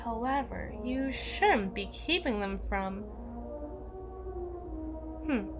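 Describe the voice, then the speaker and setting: A woman playing a jealous, menacing evil queen in an ASMR roleplay, regal and possessive, with theatrical, deliberate formal diction. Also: a little high, animated, over music. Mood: icy politeness.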